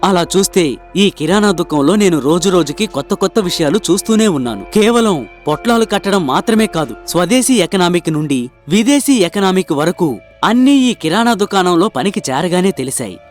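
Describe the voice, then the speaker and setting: Man acting as hopeful young inventor, high voice, earnest explaining manner, cartoon story dialogue, music behind. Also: lively intonation, moderate pace. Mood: defensive, proud.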